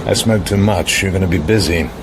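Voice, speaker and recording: An actor playing a cool, guarded secret agent in a radio drama, clipped, dry and in command. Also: male voice, brisk. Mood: relaxed, wry. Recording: music and rumble behind dialogue.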